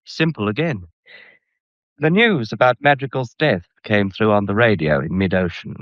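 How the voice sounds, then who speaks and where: Male actor as insolent English blackmailer, low voice, smug deliberate menace, radio mystery drama, muffled dry recording. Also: lively intonation, no room sound, close to an everyday voice. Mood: smooth, evasive. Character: insolent, scheming male gallery employee turned blackmailer.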